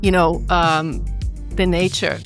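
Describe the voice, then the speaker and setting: A middle-aged woman from southern Finland, speaking thoughtfully as a podcast guest, pausing and restarting her phrases. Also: fairly low pitch, fairly even intonation, faint music behind. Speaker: podcast guest, cultural institute director. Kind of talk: reflective conversational talk.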